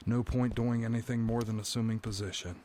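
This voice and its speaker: An actor playing a bitter, doomed prisoner who narrates a first-person audio drama with brooding, dramatic phrasing. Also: male voice, mid-range voice, flat delivery, a little fast, mellow, slight background noise, close to an everyday voice. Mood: bitter, despairing.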